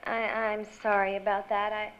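A woman's voice: mid-range voice, little pitch movement, moderate pace, a little hiss or hum, close to an everyday voice. A woman playing a shy, tender young sweetheart in a radio drama, hesitant and coy. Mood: shy, romantic. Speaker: actor. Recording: dull radio-play recording under loud music.

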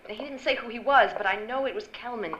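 A woman's voice: on the high side, quick pace, fairly bright tone, lightly acted. A woman playing an elegant, confiding rich wife in a radio drama, with poised theatrical delivery. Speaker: actor. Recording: dull noisy live-stage recording.

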